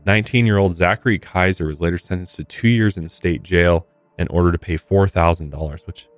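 A man's voice: low voice, fairly quick, no room sound, faint music behind. A man with an American accent narrating true crime as a podcast host, with casual commentary mixed in.

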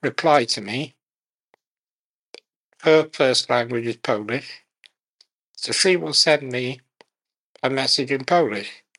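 A man in a measured interview conversation, taking his time and speaking in short, deliberate phrases. His delivery is slow, and his voice has a gravelly, creaky texture. He sounds like a thoughtful guest explaining his views. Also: mid-range voice, a bit bright, poor audio.

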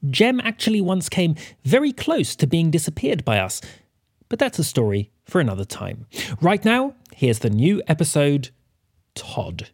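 Hugely animated male show announcer. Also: a little high, normal speed, no room sound, clean studio sound. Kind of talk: scripted promo and episode introduction.

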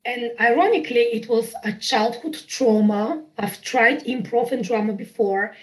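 A fairly high-voiced woman in her thirties speaks with a Bulgarian accent. She chats easily as an interview guest, bubbly and quick to laugh at herself. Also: rough recording. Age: 30s. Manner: bubbly, laughs often, self-deprecating. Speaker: podcast guest. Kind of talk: casual interview chat.

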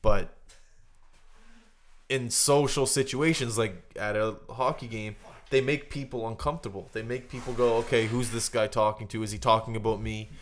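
A man's voice: mid-range voice, fairly even intonation, normal speed, a little hiss or hum. A man co-hosting a podcast in casual comedic banter, riffing on his opinions in a mock-earnest way. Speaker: podcast co-host.

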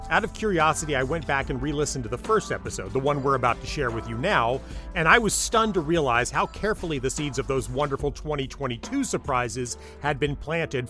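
A man's voice: a little high, fairly bright tone. Guy hosting a podcast, scripted intro to an audio drama, music playing under him. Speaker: podcast host.

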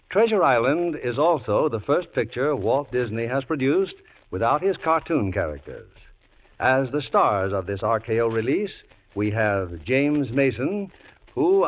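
Formal man hosting old radio, medium pitch. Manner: formal, polished presenting. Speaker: producer and host. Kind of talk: scripted 1950s radio introduction.

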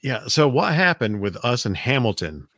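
A middle-aged man, his voice a little raspy, hosting a podcast and telling a story in a rambling way, repeating his words. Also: medium pitch, big pitch swings, moderate pace, fairly bright tone, no echo, studio quality. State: slightly raspy voice. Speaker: podcast host. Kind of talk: casual storytelling chat.

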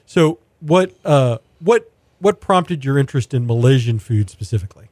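A man's voice: mid-range voice, expressive, normal speed, darkish tone, no room sound. Male host, casual interviewer, rephrases his questions as he goes; dry, close recording. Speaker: podcast host, food interviewer.

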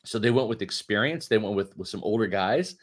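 A man's voice: on the low side, lively intonation, a little fast. A man in his fifties co-hosting a late-night sports podcast, joking and emphatic, reading an ad in his own words. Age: 50s. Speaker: podcast co-host.

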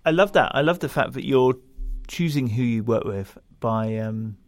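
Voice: mid-range voice, expressive, moderate pace, darkish tone, no room sound. An interviewer asking follow-up questions in a casual, affirming way.

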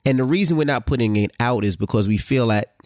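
A man's voice: medium pitch, quick pace, a little mellow, dry recording, clean studio sound. A man co-hosting a podcast with his wife, talking in a loose, repetitive way, full of fillers. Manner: loose and repetitive, many "right" and "I mean" fillers. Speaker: podcast co-host. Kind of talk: casual chat.

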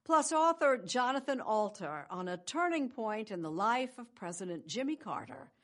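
A woman's voice: fairly high pitch, sing-song, a bit bright. Polished older woman anchoring a news show. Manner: measured, polished newsreading. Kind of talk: scripted broadcast narration.

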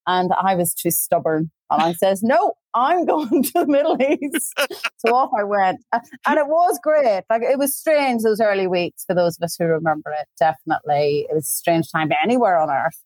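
A woman's voice: medium pitch, animated, moderate pace, a little mellow, dry recording. A woman in her forties from Belfast with a Belfast accent, chatting at length as a podcast guest over a video call from home. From Northern Ireland. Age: 40s. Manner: chatty, talks at length with asides. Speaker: guest, tour company founder. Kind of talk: casual conversation.

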